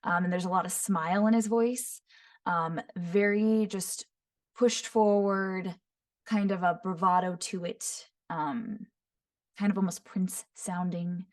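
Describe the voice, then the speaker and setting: A woman voice actor teaching voice impressions, describing each voice in short phrases and often breaking into quick character demos. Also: lowish voice. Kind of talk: voice impression tutorial. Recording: voice somewhat far from the mic.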